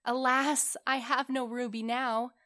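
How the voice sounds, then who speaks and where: A woman's voice: sits high, flat delivery, unhurried, bright, forceful tone, pitched above the performer's own voice. A woman voicing a noble, sorrowful prince statue in a storybook, earnest, imploring and commanding. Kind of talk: storybook character voice.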